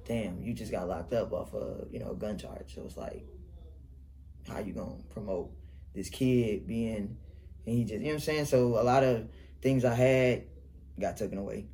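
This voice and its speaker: A man's voice: on the low side, normal speed, dark tone. A young man with a Detroit accent, a rapper talking casually in an interview, rambling and laughing often. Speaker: rapper guest.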